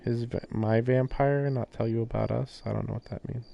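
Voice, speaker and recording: A man's voice: mid-range voice, fairly even intonation, fairly quick, vocal fry, dark tone, lo-fi sound. A man streaming a visual novel, reading the text aloud and adding casual, questioning commentary. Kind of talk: live game commentary and read-aloud of on-screen text. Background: game music.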